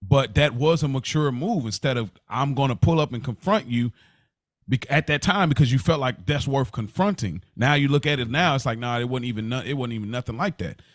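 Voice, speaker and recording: A man's voice: mid-range voice, brisk, no room sound. Male podcast co-host, American accent, reflective, mid-range voice, rephrases often, very dry recording. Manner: reflective, repeats and rephrases as he explains. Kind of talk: casual podcast conversation.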